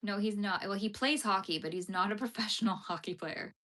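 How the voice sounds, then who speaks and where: A woman's voice: mid-range voice, brisk, bright, forceful tone. A young woman chatting casually on a live stream as she crochets and reads her chat aloud, laughing often and using lots of fillers. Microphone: phone streaming vertically.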